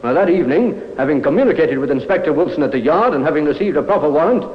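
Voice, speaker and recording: An actor playing a dutiful, matter-of-fact police sergeant, clipped and respectful, in old radio drama style. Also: male voice, a little high, animated, rapid-fire, close to an everyday voice. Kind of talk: 1950s radio drama acting. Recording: echoey, muffled old radio recording.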